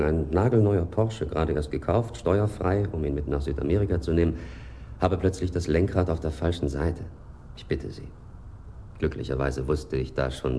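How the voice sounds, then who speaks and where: Polite hotel manager, low pitch, precise, disdainful, radio crime play, noticeable background noise. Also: male voice, mellow. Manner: courteous, precise, disdainful storyteller. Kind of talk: German radio crime drama acting.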